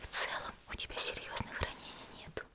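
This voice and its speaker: A woman whispering in an ASMR roleplay as a gentle, composed young female demon slayer healer, slow, soothing and politely teasing, close to the microphone. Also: lightly acted. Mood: calm, reassuring.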